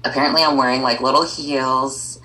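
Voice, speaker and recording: A woman's voice: extremely low pitch, steady tone, a little fast, bright, forceful tone, low-quality recording. A woman speaking as a podcast guest in a casual conversation, easygoing and thinking aloud with frequent ums and likes.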